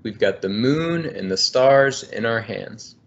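Um homem de uns trinta anos, engenheiro ambiental, lendo um livro infantil em voz alta, de forma amigável e cadenciada, numa chamada de vídeo. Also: male voice, medium pitch, animated, takes it slowly. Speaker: environmental engineer. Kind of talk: reading a children's book aloud. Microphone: laptop webcam on video call. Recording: muffled video call audio.

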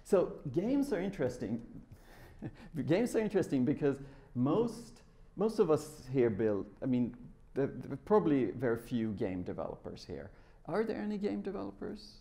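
A man's voice: fairly high pitch, sing-song, normal speed, gravelly, mellow. A middle-aged man from Sweden, speaking informally and conversationally as he presents at a tech conference. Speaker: conference speaker, software developer. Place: large lecture room with a seated audience.

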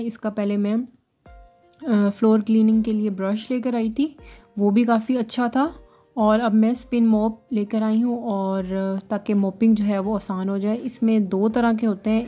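A woman narrates her home vlog in a chatty, explaining way, her voice mellow and fairly flat in pitch. Soft music plays faintly beneath her words. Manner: chatty, explaining step by step, "जी" fillers. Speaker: vlogger. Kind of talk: casual home vlog voice-over, product review.

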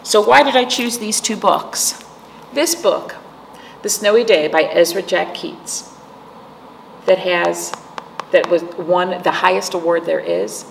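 An American woman in her forties with a creaky voice, a storytime host explaining things to young children in simple, teacherly words and questions. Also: fairly low pitch, slow pace, pauses often. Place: indoor room, seated. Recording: echoey room with background noise.

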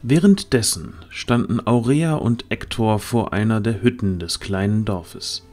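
A man reads scripted narration for a fantasy audio drama. His voice is fairly low and his pace slow, with faint music behind it. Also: darkish tone.

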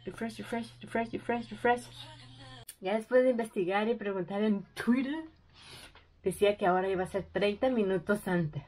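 Excited, chatty young woman vlogging. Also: medium pitch, normal speed. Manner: excited, chatty, thinking aloud. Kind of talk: casual vlog narration.

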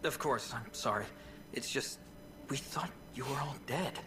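An actor voicing an anxious, flustered station worker in a video game, speaking hesitantly. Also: male voice, mid-range voice, unhurried, lightly acted. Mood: flustered, relieved. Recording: noisy capture of game audio.

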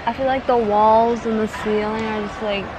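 A woman's voice: on the high side, steady tone, moderate pace. A teenage girl narrating a casual travel vlog to her handheld phone, upbeat and chatty. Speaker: vlogger. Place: airport and plane, indoors. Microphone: handheld phone at arm's length. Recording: noisy airport background.

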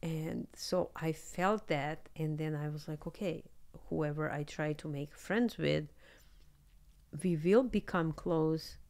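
A woman in her thirties from Serbia with a Serbian accent, chatting candidly as a guest on a podcast in a small studio, speaking close into a boom microphone. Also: slow pace. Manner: candid, animated, laughs often. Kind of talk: casual interview chat. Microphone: studio mic on boom arm, headphones.

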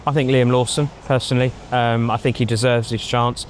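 A middle-aged man giving measured opinions in a casual outdoor chat, thinking aloud with frequent ums, on a lapel mic. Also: medium pitch, level tone, a little hiss or hum. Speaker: pundit. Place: outdoors in a racing paddock at night. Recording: faint outdoor street ambience.

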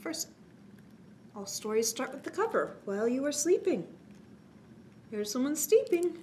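A woman's voice: sits high, big pitch swings, a little slow, darkish tone. A woman in her forties hosting a children's story time, talking to young listeners with exaggerated, prompting questions. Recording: clear background noise.

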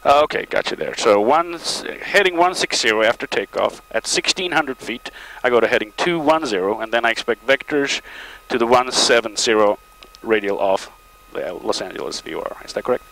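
A man's voice: medium pitch, lively intonation, gravelly, poor audio. A middle-aged man narrating and reading back as a pilot, in terse procedural phrases, on a headset in a small plane cockpit. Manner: terse, procedural callouts with pauses. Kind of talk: cockpit commentary and radio readbacks. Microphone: aviation headset. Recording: loud aircraft cabin noise, headset intercom.

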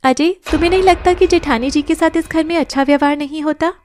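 Woman playing a gentle young wife. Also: sits high, quick pace, a little hiss or hum, close to an everyday voice. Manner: gentle, polite. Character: gentle kind young wife, soft-spoken.

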